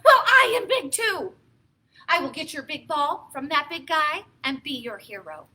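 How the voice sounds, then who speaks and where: Woman as an indignant, bright-voiced big storybook hero. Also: sits high, lively intonation, a little slow, heavily acted. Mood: boastful, resolute. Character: big, loyal, indignant storybook friend, self-declared hero.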